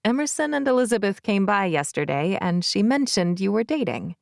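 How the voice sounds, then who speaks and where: A woman's voice: medium pitch, sing-song, fairly quick, vocal fry, no room sound. Teasing, affectionate mother for audiobook dialogue, played by a female narrator. Inquisitive, playful, dry recording.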